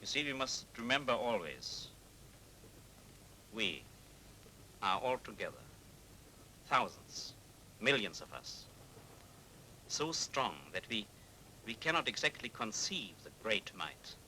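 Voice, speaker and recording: A man's voice: on the low side, big pitch swings, sharp tone, slight background noise, full character voice, rough recording. An actor playing an earnest British man giving a solemn patriotic speech, in a 1940s film. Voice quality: slow, solemn oratory.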